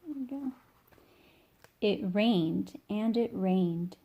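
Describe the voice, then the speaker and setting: A woman reading a children's picture book aloud as an informal, expressive storyteller who stretches key words for young listeners. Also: mid-range voice, slow, muffled recording. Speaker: read-along storyteller.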